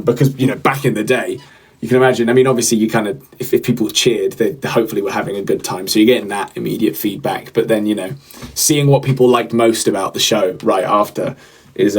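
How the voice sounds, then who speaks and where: A young man hosts a casual livestream. He rambles and trails off, filling gaps with ums and repeated phrases as he answers viewers. He speaks close to the mic in a medium voice. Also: medium pitch. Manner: rambling, repeats himself, many fillers. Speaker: livestream host.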